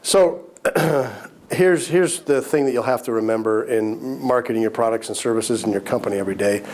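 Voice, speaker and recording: A male instructor, lecturing entrepreneurs in a relaxed, conversational way. His voice sits at an ordinary middle pitch and sounds a little raspy from a lingering cold. He clears his throat now and then and circles back to repeat his main points. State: recovering from a cold, slightly raspy. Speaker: business course instructor.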